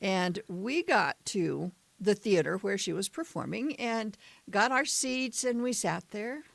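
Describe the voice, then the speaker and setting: A woman's voice: medium pitch, lively intonation, slow, creaky, dry recording. An older woman co-hosting a podcast, telling stories in a chatty way and laughing often, with a tired morning voice. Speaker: podcast co-host. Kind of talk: casual chat.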